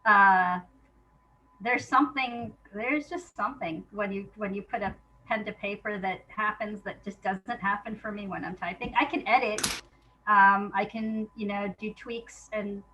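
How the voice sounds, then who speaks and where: A woman talking casually and reflectively as an interview guest, in an informal, halting way, thinking aloud as she goes. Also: mid-range voice, steady tone, bright, forceful tone, highs cut off, slight background noise.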